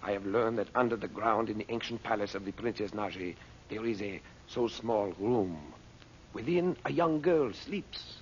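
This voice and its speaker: Casting: male actor as obsequious scheming sorcerer, low unctuous voice, radio serial, muffled vintage recording with hiss. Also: moderate pace, close to an everyday voice. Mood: secretive. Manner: unctuous, over-polite, broken English phrasing. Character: sly, obsequious male foreign sorcerer, mock-humble schemer. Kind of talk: radio serial melodrama acting.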